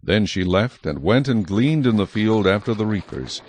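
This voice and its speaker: A man reading scripted Bible narration in a measured, solemn manner. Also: lowish voice, expressive, darkish tone, a little hiss or hum.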